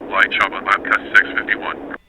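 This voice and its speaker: A man's voice: low-pitched, monotone, a little slow, heavily acted, rough recording. A man playing a businesslike airline pilot in a flight control game, heard through an aircraft radio. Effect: aircraft radio filter. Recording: loud cockpit radio noise.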